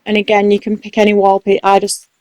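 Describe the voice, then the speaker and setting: A woman's voice: medium pitch, completely flat delivery, fast, darkish tone, dry recording. A woman with a strong Mancunian accent, casually talking through a game building tutorial step by step. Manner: chatty, explaining step by step. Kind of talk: casual commentary over gameplay.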